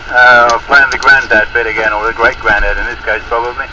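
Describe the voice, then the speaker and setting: A man's voice: mid-range voice, little pitch movement, fairly quick. A man in a casual chat, calling in over a two-way radio. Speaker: amateur radio caller. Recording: very noisy, distant two-way radio transmission.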